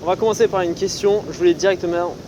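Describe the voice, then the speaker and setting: A young woman hosting a casual podcast interview outdoors, relaxed and hesitant, with many "euh" fillers. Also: lowish voice, quick pace, noisy background. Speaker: podcast host. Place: outdoors in a city on a hot day.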